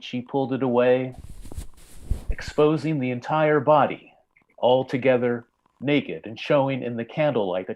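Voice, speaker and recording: A male narrator with a mid-range voice tells a scripted story. His delivery is measured and grave, in the manner of old time radio drama. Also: medium pitch, darkish tone, muffled recording.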